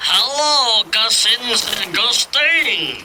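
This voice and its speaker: A man's voice: extremely high pitch, animated, drawn-out words, pushed and bright, a little hiss or hum, full character voice, rough recording. A man with an Ilocano accent playing a showy, gushing relative phoning from abroad in a radio drama comedy, dropping in English words. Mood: delighted, boastful.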